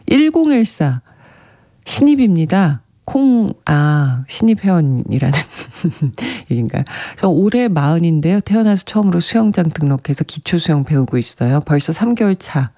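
Brief: radio host with a warm, dark tone and a soft, breathy edge. Reads listener notes gently and chats. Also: medium pitch, very animated, moderate pace. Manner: gentle, chatty, often laughing softly. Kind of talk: radio show, reading listener messages.

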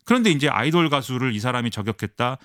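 A man giving fast, animated political analysis on a current-affairs radio show, explaining with many fillers. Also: medium pitch, clean tone, no room sound, clean studio sound. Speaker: political commentator.

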